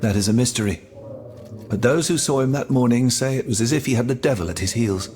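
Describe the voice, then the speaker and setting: An actor playing a haughty, dismissive scholar of old France in a video game, pompous and formal in delivery. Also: male voice, medium pitch, a little fast, darkish tone, a little hiss or hum, natural voice. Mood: scornful. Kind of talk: video game cutscene dramatic acting.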